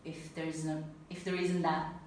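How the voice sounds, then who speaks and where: Italian woman giving a talk, earnest and lively, echoey room, mic kinda far off. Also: low-pitched, unhurried. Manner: earnest, animated, laughs easily. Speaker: speaker at a talk. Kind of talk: personal talk then audience Q&A. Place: event room with an audience. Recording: echoey room, mic at a distance, faint noise.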